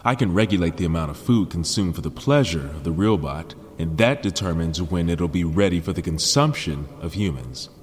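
An actor playing a socially awkward, proud and prickly billionaire inventor in a naturalistic sci-fi audio drama. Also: male voice, low voice, lively intonation, a little fast, few pauses, a little hiss or hum. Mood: proudly explaining. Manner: awkward, conversational, explanatory.

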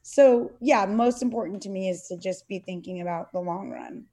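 A middle-aged woman chatting as a podcast guest. She speaks earnestly but haltingly, with frequent fillers and restarts, at an average pitch for a woman. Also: warm tone. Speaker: podcast guest, CrossFit athlete and parent. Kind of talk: casual interview conversation.

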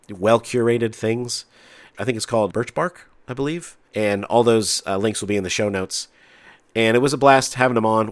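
A low-pitched man with a stuffy, nasal voice from a head cold hosts a chatty tech podcast. He talks freely and loosely, circling back on his own sentences. The recording is dry and close, with no room around him. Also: no echo. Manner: chatty, talks a lot with restarts. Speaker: podcast host. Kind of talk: casual podcast chat.

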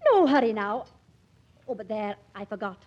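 A woman's voice: medium pitch, sing-song, moderate pace, darkish tone. An actress playing a chatty, motherly, middle-aged doctor's wife in a vintage radio play, bustling and effusive. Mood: chatty, reassuring. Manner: bustling, effusive, folksy speech. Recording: dull old radio broadcast recording.

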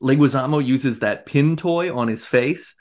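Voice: mid-range voice, a little slow, a little mellow. A podcast co-host recapping a film in casual, joking conversation.

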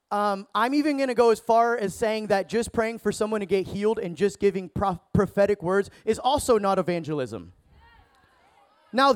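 A man in his thirties preaching a sermon with energy, joking with the crowd and shouting for emphasis. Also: high-pitched. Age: 30s.

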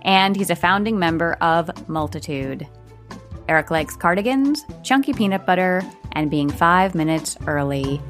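A woman's voice: fairly low pitch, expressive, normal speed, faint music behind. A woman hosting a podcast, reading a scripted introduction and interviewing a guest.

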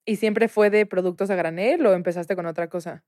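A woman's voice: medium pitch, fairly even intonation, brisk, a little mellow, no echo, studio quality. A woman hosting a podcast interview, enthusiastic and chatty with casual slang. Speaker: podcast host.

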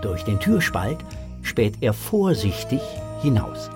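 A man narrating a scripted children's audio drama in a measured, suspenseful way. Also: fairly low pitch, sing-song, over music.

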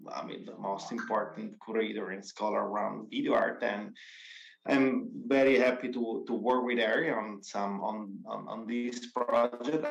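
A man with an Italian accent gives remarks at an event, hesitating often and padding his speech with "you know." His voice is gravelly with vocal fry, and the room around him is echoey. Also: lowish voice, lo-fi sound. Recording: roomy echo.

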